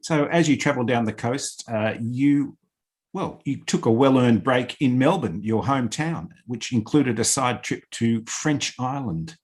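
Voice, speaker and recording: Formal male interviewer, medium pitch. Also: unhurried. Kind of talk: prepared, measured interview questions.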